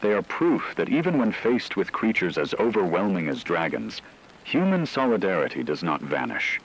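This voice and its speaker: A man's voice: deep voice, big pitch swings, moderate pace, breathy edge, exaggerated voice, poor audio. A man playing a grave radio news anchor, delivering a scripted disaster report in a solemn, formal, rhetorical manner. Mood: solemn, resolute.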